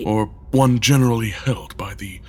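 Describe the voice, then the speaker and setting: An actor playing a brooding, overworked and socially awkward leader in an audio drama, speaking haltingly with sighs and a husky voice. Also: male voice, fairly low pitch, warm tone. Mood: hesitant, self-conscious. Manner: halting, deliberate, sighing.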